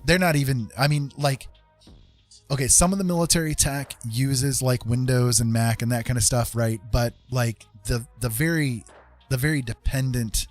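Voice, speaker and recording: An American man hosting a livestream, chatting casually and explaining things in an informal, halting, rambling way. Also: mid-range voice, fairly even intonation, soft background music. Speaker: livestream host chatting with viewers.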